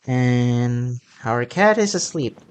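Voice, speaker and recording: A woman's voice: extremely low pitch, expressive, slow pace, a little mellow, clean tone. A woman game streamer giving playthrough commentary, reading dialogue aloud and reacting in a playful, rambling way. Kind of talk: playthrough commentary, voicing on-screen text and reacting. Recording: game music behind.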